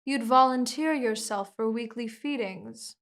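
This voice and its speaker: A woman voicing a slow, hypnotic vampire queen. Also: on the high side. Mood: commanding. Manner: slow, soothing, hypnotic and controlling. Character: commanding seductive female vampire queen. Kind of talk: ASMR hypnosis roleplay.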